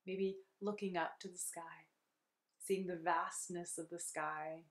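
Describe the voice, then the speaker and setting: A woman guides a meditation with a somewhat low voice and very level intonation. Her delivery is soothing and unhurried, offering gentle instructions to the listener. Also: fairly even intonation.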